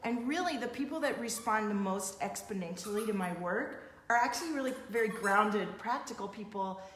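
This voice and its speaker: A woman's voice: mid-range voice, animated, moderate pace, a bit bright. A woman working as an energy coach, talking casually with a client in an affirming, explanatory way. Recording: echoey room with background noise.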